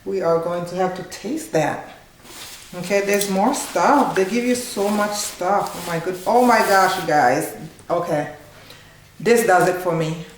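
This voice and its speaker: A woman's voice: fairly low pitch, measured pace. A woman in her thirties hosting a casual unboxing vlog at home, chatty and informal, exclaiming with delight. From Haitian background. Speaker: cooking channel host. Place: small living room at home, indoors. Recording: echoey room, clear background noise.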